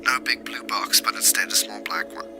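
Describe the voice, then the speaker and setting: A man acts as a mocking villain in an audio play. His voice is fairly low, sneering and wordy, under very loud music. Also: level tone, moderate pace, natural voice. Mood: smug, taunting. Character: mocking, smug male villain taunting his rival. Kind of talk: audio drama acting. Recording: loud music almost covering the voice.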